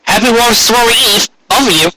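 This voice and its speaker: A man acts out a timid pony baker in a story, raising the pitch well up. He is polite, hesitant and deferential, heard bright and dry. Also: fairly quick, exaggerated voice, low-quality recording. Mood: nervous, polite.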